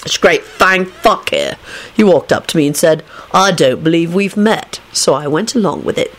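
A woman's voice: on the low side, expressive, normal speed. A woman voicing a dazed, sullen, foul-mouthed wizard in a story, grumbling groggily and crudely. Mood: cross, self-righteous.

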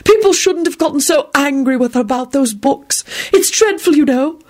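A woman's voice: high voice, animated, moderate pace, darkish tone, voice pushed up. A woman voicing a dramatic, tragic fortune-teller witch in a narrated story. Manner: soothing, sighing, screeching when crossed.

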